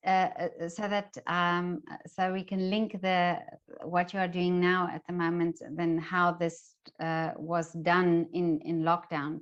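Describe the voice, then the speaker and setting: Slightly muffled audio. Female interview host with a fairly low voice and an informal, chatty questioning style. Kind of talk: casual conversational interviewing.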